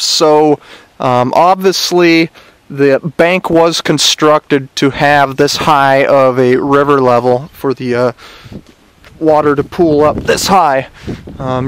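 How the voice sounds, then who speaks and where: A man's voice: a little high, clean tone. A man giving a casual on-location report outdoors by a river, rambling with many "uh" fillers. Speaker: citizen reporter. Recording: outdoor recording with loud wind and river noise.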